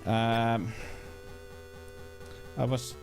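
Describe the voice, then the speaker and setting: A man with a Finnish accent chats casually on a gaming livestream at a slow pace. He halts, searches for words and mutters short reactions, with game music playing behind his mid-pitched voice. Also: flat delivery, vocal fry, darkish tone.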